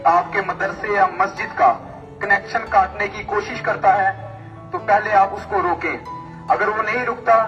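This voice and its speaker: A distant, reverberant loudspeaker carries a man's formal mosque announcement with music behind it. His voice is high, and he declaims in measured, short phrases. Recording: muffled, echoing mosque loudspeaker from afar, music.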